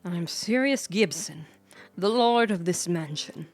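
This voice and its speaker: A woman's voice: fairly low pitch, expressive, takes it slowly, soft background music, voice pushed down, heavily acted. A woman voicing a stern, reluctant lord of a mansion in a game, formal and curt.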